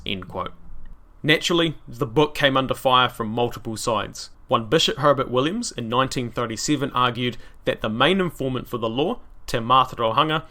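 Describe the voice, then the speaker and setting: Bright-voiced New Zealand man. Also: mid-range voice. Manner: wry, casually irreverent asides. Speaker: history podcast host. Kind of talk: scripted history narration.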